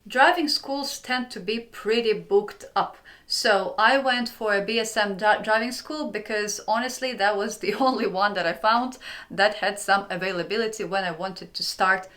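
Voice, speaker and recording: A woman's voice: mid-range voice, fairly bright tone. Upbeat woman from Ukraine giving tips. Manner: clear, upbeat, explanatory. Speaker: channel host. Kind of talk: friendly advice vlog.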